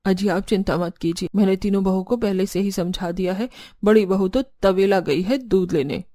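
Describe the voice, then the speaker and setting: A woman's voice: mid-range voice, moderate pace, mellow, pitched below the performer's own voice, natural voice. A woman playing a stern older Indian mother-in-law who scolds and bosses in a cartoon story. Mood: composed, hospitable. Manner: scolding, bossy. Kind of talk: Hindi moral cartoon story acting.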